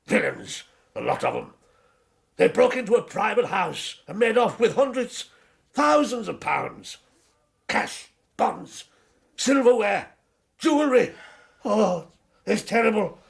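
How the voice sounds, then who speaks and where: An actor in a radio drama playing an agitated, fearful householder, excitable and emphatic, often repeating himself. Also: male voice, high-pitched, slow pace, vocal fry, pauses often, full character voice. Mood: agitated, horrified. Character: agitated, fearful householder, boastfully cunning, guarding his money.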